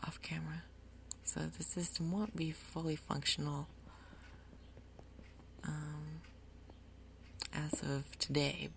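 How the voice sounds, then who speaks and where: A woman gaming host giving soft, whispery ASMR commentary, casually thinking aloud in an unhurried way. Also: low-pitched, slight background noise. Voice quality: soft, whispery, breathy undertone. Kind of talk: soft-spoken ASMR Minecraft gameplay commentary.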